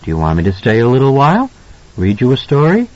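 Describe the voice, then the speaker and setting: A man voicing a gentle, worried, protective young mother in an audiobook, speaking in a soft, coaxing way. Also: mid-range voice, huge pitch swings, fast, pitched above the performer's own voice. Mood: soothing. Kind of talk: audiobook character voice. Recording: slightly dull, lo-fi audio.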